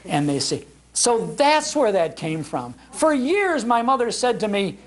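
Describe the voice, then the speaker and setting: Older American man with a higher-pitched voice. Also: unhurried. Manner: animated, conversational, chuckles through anecdotes. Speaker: speaker on old-time radio history, broadcaster. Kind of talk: informal public talk, anecdotal storytelling. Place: public library talk before an audience.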